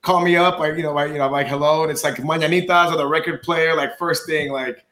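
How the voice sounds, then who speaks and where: A man with a hoarse voice chatting on a podcast, laid-back, full of "like" and "you know". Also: a little high, fairly even intonation, fast talker, bright, forceful tone. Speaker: podcast co-host. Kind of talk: casual chat. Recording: echoey room.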